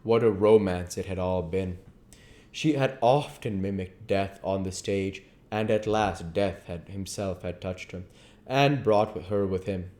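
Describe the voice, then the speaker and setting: A man with a fairly low voice reads literary prose aloud. His narration is deliberate, with occasional stumbles over the written words. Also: darkish tone. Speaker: audiobook reader.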